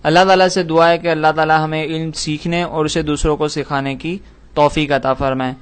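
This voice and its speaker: Clear, higher-voiced man giving religious instruction. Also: little pitch movement, fairly quick. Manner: formal, methodical, scholarly diction. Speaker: Islamic scholar answering a religious question. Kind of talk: religious lecture, explanatory question-and-answer.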